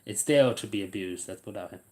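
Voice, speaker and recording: A man's voice: lowish voice, quick pace, darkish tone. A man chatting casually on a livestream, rambling and hesitant with lots of fillers.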